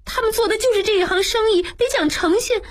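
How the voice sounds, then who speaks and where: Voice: very high-pitched, fairly quick, pitched above the performer's own voice. A narrator voicing an earnest, fretful young maidservant in a plaintive way for an audiobook. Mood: fretful, scolding.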